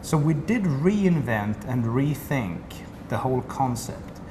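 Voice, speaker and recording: Swedish guy walking through a product demo, middle pitch, lots of background noise. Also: very animated, takes it slowly. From Sweden. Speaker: company representative. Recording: noisy room ambience.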